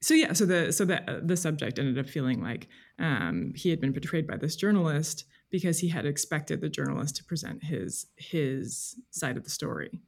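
A woman speaking as an interview guest, explaining things conversationally in a halting, careful way. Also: low-pitched, animated, gravelly. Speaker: guest, freelance journalist. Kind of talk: thoughtful conversational interview answers.

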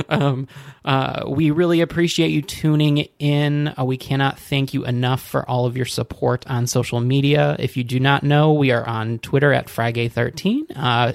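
A man's voice: medium pitch, steady tone, normal speed, vocal fry. A man co-hosting a podcast in a playful, casual chat, laughing easily. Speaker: podcast co-host.

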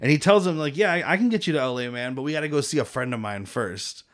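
A man's voice: mid-range voice, expressive, fast. A man co-hosting a casual movie podcast, retelling scenes with frequent swearing and laughter. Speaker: podcast co-host. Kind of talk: casual movie recap chat.

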